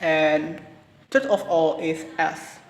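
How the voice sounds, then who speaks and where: A young man with an Indonesian accent giving a halting class presentation on pronunciation, repeating each word several times. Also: fairly high pitch, steady tone, vocal fry, a little hiss or hum. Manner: halting, repeats words for practice. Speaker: student presenter. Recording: roomy, reverberant with faint ambience.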